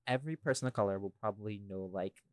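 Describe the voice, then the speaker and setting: A man's voice: on the low side, quick pace, darkish tone, dry recording, clean studio sound. A man in a casual podcast chat as a creator and co-host, speaking informally with some swearing and tag questions.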